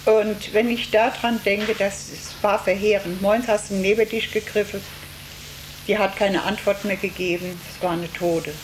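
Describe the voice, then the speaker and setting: An older woman giving eyewitness testimony, recalling her past in a plain, halting way with emphatic repetition. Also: mid-range voice. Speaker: camp survivor giving testimony. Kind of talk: spoken eyewitness recollection. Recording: noisy interview with crackling hiss.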